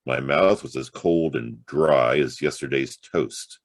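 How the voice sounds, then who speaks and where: A hardboiled noir private eye, super low voice, wry and clipped, dry close sound, radio play style. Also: male voice, slow, dry recording, full character voice. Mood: grim, tense. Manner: wry, clipped tough-guy talk with slangy quips. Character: hard-boiled male private detective, world-weary, wisecracking. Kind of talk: noir radio-play acting and first-person narration.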